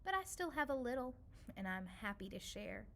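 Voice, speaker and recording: Lady doing sweet lonely old woman in a kids story, gentle n soothing, reminiscing. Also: on the high side, very animated, normal speed. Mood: gentle, nostalgic. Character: kindly, lonely old woman sheltering a stray cat. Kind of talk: children's storybook read-aloud.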